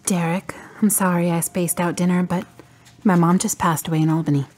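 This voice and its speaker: Woman acting a husky, grieving, guarded daughter. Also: on the low side, flat delivery, brisk, darkish tone, lightly acted. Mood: weary. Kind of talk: radio drama acting.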